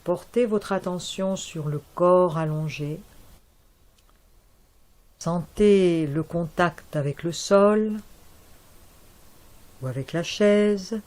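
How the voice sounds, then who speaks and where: A woman guiding a yoga nidra relaxation in a soft, calm voice with long pauses. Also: lowish voice. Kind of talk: guided relaxation.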